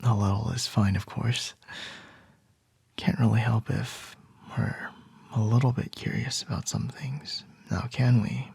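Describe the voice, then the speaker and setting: A man acting as a composed male CEO boss in an ASMR boyfriend roleplay, murmuring softly with a breathy, whispery voice and a gently wry manner. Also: mid-range voice, steady tone, measured pace, no echo, exaggerated voice. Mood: calm, teasing.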